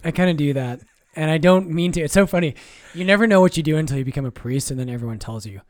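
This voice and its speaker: Priest chatting on a podcast, laid back, cracks jokes, dry close sound. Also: male voice, a little high, fast talker, no echo. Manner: relaxed, explains doctrine with jokes and self-corrections. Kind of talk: casual podcast chat.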